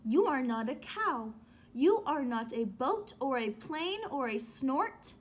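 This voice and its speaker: Lady doing a little baby bird boy from a picture book, high voice, slow stretched words, anxious and eager. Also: sing-song, exaggerated voice. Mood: sure, proud. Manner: exclaiming, drawn-out words, plaintive calls.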